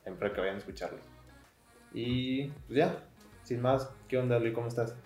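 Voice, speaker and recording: Relaxed young Mexican man hosting. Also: medium pitch, normal speed, echoey room. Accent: Mexican Spanish. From Sinaloa, Mexico. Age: 20s. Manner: relaxed, chatty, many fillers like "pues". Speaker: podcast host. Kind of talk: casual podcast interview. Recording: faint music, some outside noise.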